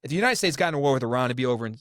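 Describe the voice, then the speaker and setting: Talky male podcast host with an average-pitched voice and strong opinions, recorded in a dry, close room. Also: lively intonation, very fast, a bit bright, no echo. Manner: opinionated, rambling, many restarts. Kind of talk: casual political discussion.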